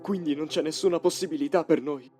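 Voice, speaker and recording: Man voicing high, earnest, incredulous young man. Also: steady tone, quick pace, dark tone, close to an everyday voice. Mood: dismayed. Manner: emotional, questioning. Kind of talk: dramatic motion comic dubbing. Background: faint music.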